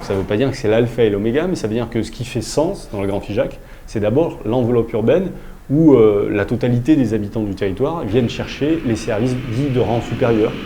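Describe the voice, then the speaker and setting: A man, a local official, explaining in a public presentation style with halting, pause-filled delivery. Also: mid-range voice, mellow, a little hiss or hum.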